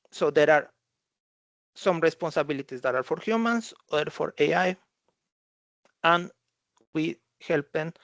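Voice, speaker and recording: Halting male tech presenter, gravelly voice. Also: a little high, dry recording, dull audio. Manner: halting, repeats and rephrases phrases. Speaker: consultant presenting at a conference. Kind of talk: slide presentation talk.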